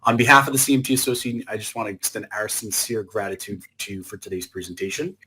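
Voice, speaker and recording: A man's voice: fairly low pitch, steady tone, fast talker, bright, forceful tone, a little hiss or hum, low-quality recording. A man hosting and moderating a webinar, delivering formal, polished closing remarks. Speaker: host and moderator.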